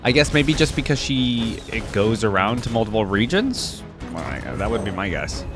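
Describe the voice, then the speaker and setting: A man's voice: lowish voice, very animated, moderate pace, music in the background. A young man giving casual reaction commentary as a video host, musing aloud in an informal way.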